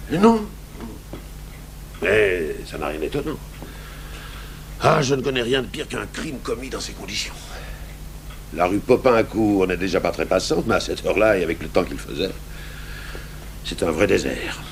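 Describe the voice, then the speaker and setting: An actor playing a gruff, brooding, stubborn French police commissioner in a 1980s radio drama. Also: male voice, low voice, expressive, normal speed, pauses often. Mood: frustrated, brooding. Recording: noisy old radio broadcast recording.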